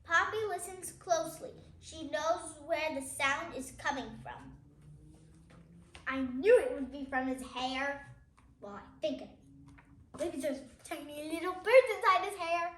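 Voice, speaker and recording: A high, young child's voice reads a storybook aloud at a slow, halting pace. Casual side comments break up the reading, and the room around the voice is echoey. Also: fairly bright tone. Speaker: child reader, host of a reading channel. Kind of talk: reading a picture book aloud with casual asides.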